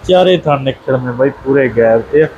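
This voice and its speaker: A man's voice: medium pitch, moderate pace, a little mellow, dull audio, a little hiss or hum. A young man hosting a dairy channel, walking through cows for sale and praising them in rhyming, doubled-up lists. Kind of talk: livestock sale walkthrough. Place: open cattle shed outdoors.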